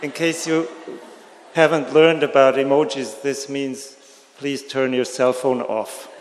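A man's voice: mid-range voice, steady tone, a little slow, a little hiss or hum. A man serving as church elder, giving the announcements with a light, joking touch. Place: large church sanctuary. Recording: echoey church hall.

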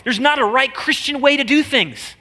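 A man's voice: very high voice, normal speed, pushed and bright. A man with a nasal voice, a guest preacher giving a casual, joking sermon full of slang. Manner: chatty, joking, slangy delivery.

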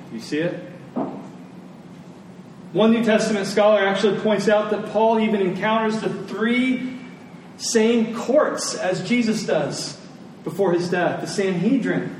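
A middle-aged man preaching a sermon in a conversational way, putting rhetorical questions to his listeners. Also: high voice. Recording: very echoey room, distant mic, faint noise.

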